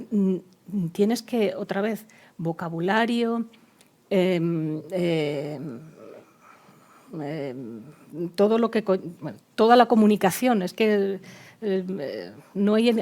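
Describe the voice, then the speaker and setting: A woman speaking on an expert panel, with a soft and mellow tone. Her speech is halting and searching, full of fillers and restarted phrases. She sounds like she is working out her points as she talks. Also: medium pitch. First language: not Spanish. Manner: hesitant, many fillers and restarts. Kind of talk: panel discussion.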